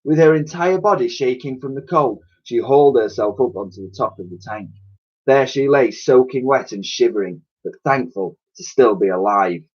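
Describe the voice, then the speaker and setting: A man's voice: medium pitch, moderate pace, breathy edge, lo-fi sound. A man reading a children's story aloud like a teacher, with theatrical, suspenseful narration and emphatic sound effects spoken by mouth.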